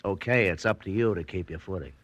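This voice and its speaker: Gruff male lieutenant, terse, deep and hard-edged, vintage radio crime drama. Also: quick pace, a bit bright, dry recording. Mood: blunt, dismissive. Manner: terse, blunt. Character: gruff, amused police lieutenant, grudgingly fond. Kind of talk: 1940s radio drama acting.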